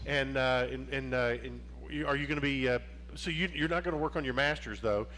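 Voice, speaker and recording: A man hosts a relaxed sports interview show. He rambles and restarts his sentences, padding them with fillers. His voice sits at an ordinary pitch, with a faint hum behind it. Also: fairly quick.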